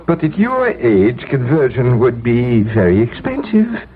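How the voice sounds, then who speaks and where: Placating insurance man, swooping pitch. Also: wildly swooping pitch, unhurried, slight background noise. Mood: placating, businesslike. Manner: placating, slightly hesitant. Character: polite, evasively reassuring male insurance agent. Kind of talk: 1970s American radio drama acting. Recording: thin, phone-like old radio recording.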